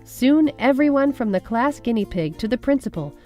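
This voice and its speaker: A woman reading children's picture books aloud in an expressive storytelling style, with music behind her. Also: a little high, moderate pace. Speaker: storybook reader. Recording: music under the voice. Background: background music.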